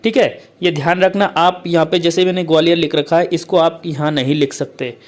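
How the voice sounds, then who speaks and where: Male tutor with a slightly high voice, patient and repetitive. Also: fairly even intonation. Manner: repetitive, keeps saying "okay, friends"; reads English lines slowly, then explains. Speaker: English teacher. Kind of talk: explaining a letter format to students.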